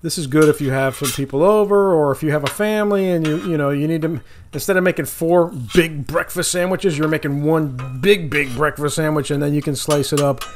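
A man with a slightly higher voice talks through his cooking outdoors, easygoing and rambling, often restarting his sentences. Faint sizzling from the griddle sits under his voice. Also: brisk, slight background noise. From Florida. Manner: relaxed, rambling, self-correcting, chuckles at mistakes. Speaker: cooking channel host. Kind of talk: casual cooking demonstration. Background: sizzling food on a griddle.

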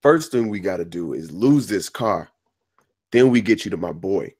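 A man's voice: deep voice, lively intonation, normal speed, a little mellow, no room sound, studio quality. A man playing a tough, protective getaway driver who talks in clipped street slang at a script read. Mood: urgent, steadying. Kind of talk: script table read acting.

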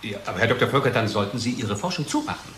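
A sardonic company chemist in a radio play. His voice is deep, his manner dry and argumentative, with clear noise behind him. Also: animated, rapid-fire, fairly bright tone. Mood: indignant. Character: testy, sardonic male company research chemist. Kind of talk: German radio drama acting. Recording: clearly noisy background ambience.

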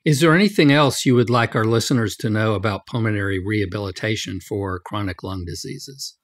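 A man's voice: fairly low pitch, brisk. A man hosting a medical podcast, putting formal questions to a guest. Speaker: podcast host, physician.

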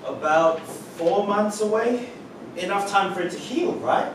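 A man's voice: sits high, expressive, unhurried. A man playing an awkward, flustered eighteen-year-old in a staged reading. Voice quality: flustered, defensive. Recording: roomy theater stage, distant mic, noisy.

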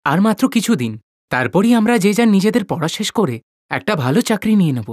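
A woman voicing a responsible, agreeable elder son in an animated moral story, earnest and emphatic. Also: medium pitch, fairly quick, dry recording, studio quality. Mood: reassuring.

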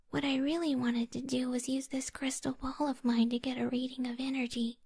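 A woman playing a creepy, lonely young occultist who loves ghosts, whispering slowly and coaxingly in an ASMR roleplay. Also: high-pitched, darkish tone, exaggerated voice. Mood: coaxing, soothing. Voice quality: breathy, raspy whisper.

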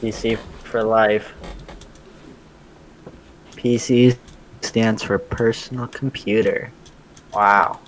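A man's voice: on the low side, level tone, a little hiss or hum, rough recording. A young man hosting a casual gaming video, asking his friend questions and joking while he plays. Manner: upbeat, jokey, quick asides while playing. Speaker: gaming channel host.

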